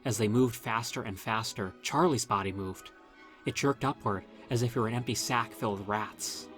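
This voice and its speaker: A man reading a scripted first-person horror story as narrator, in a dramatic, measured storytelling manner. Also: on the low side, a bit bright, soft background music.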